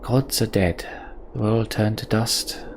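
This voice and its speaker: A man hosting a let's play, commenting and reading game text aloud in an unhurried, reflective way. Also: lowish voice, steady tone. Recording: slight background noise.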